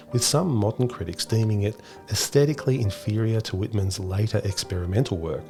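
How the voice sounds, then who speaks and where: Guy w a lowish voice doing scripted educational podcast narration, bit of music under it. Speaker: podcast host.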